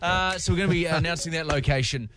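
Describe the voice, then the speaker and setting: A man's voice: a little high, fast talker. A man joking and teasing as a radio co-host. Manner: teasing, playful.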